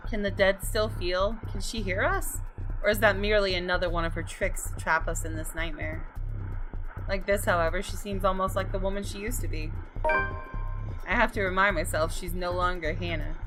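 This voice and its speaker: Girl gamer reading as weary horror story survivor, solemn and measured, lowish voice, music behind. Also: fairly bright tone, natural voice, rough recording. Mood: haunted. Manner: measured, literary first-person reading. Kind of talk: visual novel narration read aloud. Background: game music.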